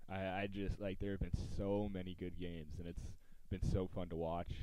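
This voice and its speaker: Male American podcast host, low and dark, casual sports chat, dry sound. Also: monotone, normal speed, clear, resonant tone, no room sound, rough recording. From United States.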